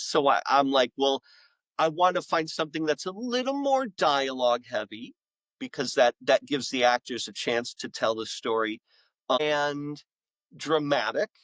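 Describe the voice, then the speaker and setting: A man's voice: a little high, fairly bright tone, no echo. A man talking casually as an interview guest, explaining creative work in a rambling way that often doubles back on itself. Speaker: interview guest, author and audio drama maker.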